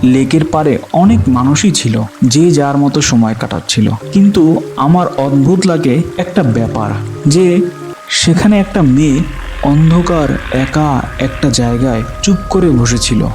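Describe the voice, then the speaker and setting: A man's voice: on the high side, lively intonation, unhurried, over music. A man playing a young university student who tells his ghostly tale in dramatic, suspenseful first-person narration. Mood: uneasy, suspenseful. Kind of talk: scripted first-person horror narration with acted dialogue.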